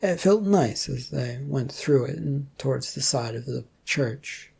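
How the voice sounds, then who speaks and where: Gravelly, dark-toned storyteller. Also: medium pitch, expressive, unhurried. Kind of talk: scripted fiction narration.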